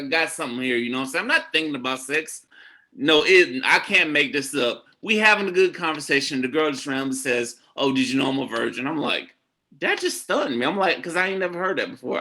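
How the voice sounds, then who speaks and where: A man in his early thirties talks in a casual podcast chat. His voice is bright, and he keeps checking in with "you know what I'm saying" as he tells his dating stories. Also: on the high side. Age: 30s. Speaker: podcast guest.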